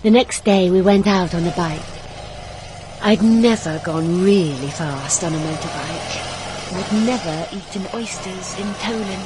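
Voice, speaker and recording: Slow, passionate young woman. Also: lowish voice, background noise. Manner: intimate, emotional, dramatic. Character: passionate young woman in love, narrating her own romance. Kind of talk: radio drama acting with first-person narration.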